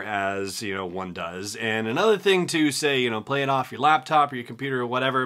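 A man's voice: medium pitch, a bit bright. A man in his forties talking casually and emphatically as an enthusiastic solo podcast host, recorded at a desk in a small room at home.

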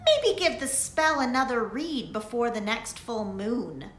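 A woman voicing a patient, kind girl best friend who speaks gently in a storybook. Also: on the high side, unhurried, slight background noise. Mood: gentle. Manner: calm, gentle and soothing. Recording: echoey room with soft music.

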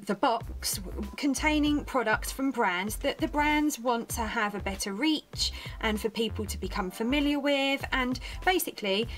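Croaky chatty woman vlogger. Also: fairly high pitch. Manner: chatty, gushing, self-deprecating. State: croaky and a bit out of breath. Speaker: beauty vlogger. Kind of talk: casual product review to camera. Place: small home room indoors.

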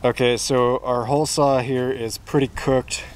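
A man's voice: medium pitch, flat delivery, unhurried, over loud music. A man in his thirties, a van build vlogger, casually explaining his DIY plumbing work to a close handheld camera in a garage workshop, chatty and self-deprecating. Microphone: handheld camera close to face.

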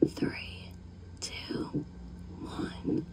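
A woman hosts ASMR in an unvoiced, breathy whisper. She takes her time, leaving words to hang, and coaxes the listener gently as she goes. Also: extremely slow. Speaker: ASMR host.

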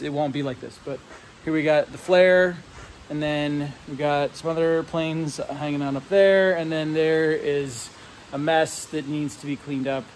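A man's voice: fairly high pitch, a little hiss or hum. Rambling man talking to his phone camera. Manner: relaxed, rambling, with fillers and restarts, explains technical setup. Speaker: RC plane hobbyist vlogger. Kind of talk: casual walkthrough vlog. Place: large metal hangar, indoors. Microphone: handheld phone camera held close.

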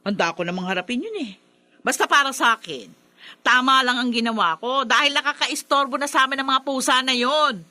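Shrill, defensive mother in radio drama. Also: fairly quick, sharp tone. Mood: stubborn, defiant. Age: middle-aged. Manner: stammering, indignant, exclaiming. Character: defensive, callous mother who dumped the cats. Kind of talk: Filipino radio drama acting.

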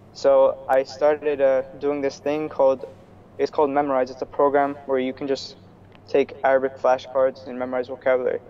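Young guy from new york on a video call, kinda flat monotone voice, dull audio, lots of likes and restarts. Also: medium pitch, a little hiss or hum. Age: young adult. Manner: relaxed, lots of fillers and restarts. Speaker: guest, student. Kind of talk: casual interview over video call. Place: small room indoors. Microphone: earphone headset on video call.

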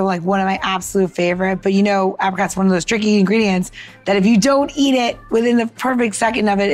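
An American woman co-hosts a podcast in a medium-pitched voice. She is chatty and emphatic, with casual phrasing. Music plays faintly beneath her. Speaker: podcast co-host. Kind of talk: casual chat and cooking tips.

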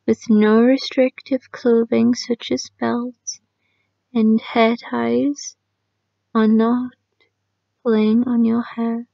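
A woman leading a guided sleep meditation in a soft, calming manner, often trailing off into a near-whisper. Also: fairly high pitch, vocal fry, dry recording. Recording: dry, slightly muffled lo-fi sound.

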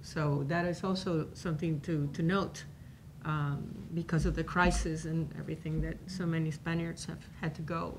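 Cuban-born woman in her 60s, lower voice, chatty lecture style, seminar room with faint rumble. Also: level tone, moderate pace. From Cuba. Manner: conversational, many fillers and restarts. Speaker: professor giving a talk. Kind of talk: informal lecture on a panel. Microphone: table microphone.

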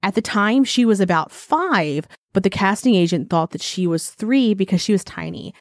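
A woman in her thirties co-hosting a true crime podcast, her voice raspy and deeper while she gets over the flu, chatty with sympathetic asides. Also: expressive, normal speed, dry recording, studio quality. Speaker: podcast co-host.

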